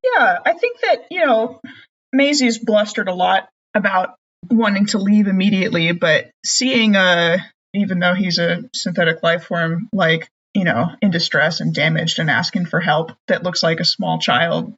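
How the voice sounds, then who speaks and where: A woman's voice: lowish voice, normal speed, fairly bright tone, slightly rough, muffled recording. A woman chatting casually as a tabletop game player. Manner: chatty, laughs easily.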